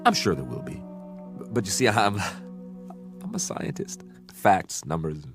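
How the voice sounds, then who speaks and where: A man with an American accent acting an eager, naive scientist in a radio drama, speaking in a halting, excitable, stammering way. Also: low voice, over music, full character voice. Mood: curious. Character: eager, naive male American scientist stranded on another planet.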